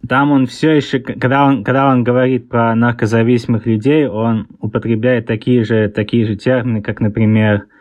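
A man in his thirties with an English-tinged Russian accent, a guest author answering interview questions in a halting way, often pausing to search for words. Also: mid-range voice, level tone, darkish tone, no echo, dull audio. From born in Russia, raised in England. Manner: hesitant, searches for words, many fillers.

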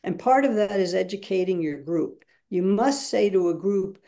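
A woman's voice: medium pitch, lively intonation, normal speed, warm tone. A woman speaking as a guest facilitator in a webinar, explaining emphatically and acting out examples as she goes.

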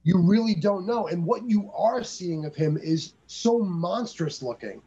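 High-voiced man, dull lo-fi sound. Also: lively intonation, unhurried, warm tone, a little hiss or hum. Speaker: podcast co-host. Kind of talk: casual movie review chat. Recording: dull lo-fi audio.

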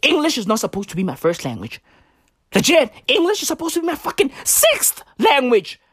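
A woman's voice: sits high, brisk, breathy edge, dry recording, studio quality. A woman from southern Africa, a native Tswana speaker, doing a rambling, profane comic rant full of slang. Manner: profane, rambling, animated slang-heavy delivery. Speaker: comedian. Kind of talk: stand-up style comedic rant.

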